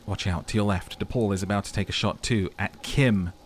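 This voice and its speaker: A man's voice: on the low side, brisk, soft background music. A man doing a let's play, narrating the game dramatically and dropping into casual, sweary commentary. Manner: dramatic storytelling mixed with casual, sweary asides. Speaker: let's player. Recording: faint background game noise.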